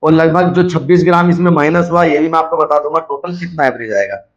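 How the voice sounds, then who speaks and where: A man's voice: fairly high pitch, quick pace, lo-fi sound. A man giving a hands-on demonstration, explaining step by step and repeating his points as he instructs. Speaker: video host, scrap recycler.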